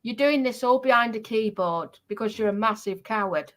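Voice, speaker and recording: A woman delivering an unscripted, confrontational rant to online trolls, scolding in a halting way and repeating her points for emphasis. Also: on the high side, fairly bright tone.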